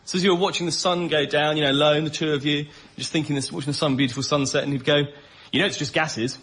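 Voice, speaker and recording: A man's voice: on the high side, fairly even intonation, fast, a bit bright. A man on a comedy panel, ranting incredulously and repeating phrases for emphasis. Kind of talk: comic ranting and banter. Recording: noisy radio recording with studio audience.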